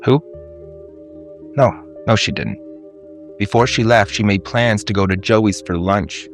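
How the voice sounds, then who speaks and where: An actor playing an agitated, suspicious husband of about forty in a radio drama, blunt and demanding. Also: male voice, fairly low pitch, a little slow, soft background music. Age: 40s. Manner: blunt, interrogating, tense.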